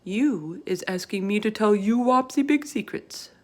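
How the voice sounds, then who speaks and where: A woman voicing a gentle, emotional storybook giant who talks in jumbled grammar and silly invented words. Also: medium pitch, expressive, unhurried. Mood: secretive. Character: gentle, tearful, kindly giant with jumbled childlike speech.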